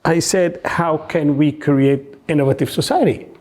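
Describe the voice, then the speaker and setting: A man from Egypt telling his story as an interview guest in non-native English, haltingly, repeating words for emphasis. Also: a little high, darkish tone. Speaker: podcast guest, researcher and businessman.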